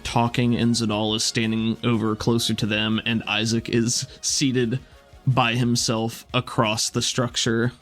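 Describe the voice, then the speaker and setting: A man acting as game master, narrating a tabletop roleplaying game in a casual, descriptive way. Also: medium pitch.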